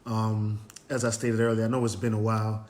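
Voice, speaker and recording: Raspy upbeat man hosting a live stream. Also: fairly low pitch, level tone, brisk, a little mellow. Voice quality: raspy, hoarse. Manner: upbeat motivational, frequent "um" and "you know". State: hoarse from cheering at a game. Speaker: host of a Facebook Live motivational show. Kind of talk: live-stream talk, greeting viewers by name. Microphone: live stream, phone or webcam.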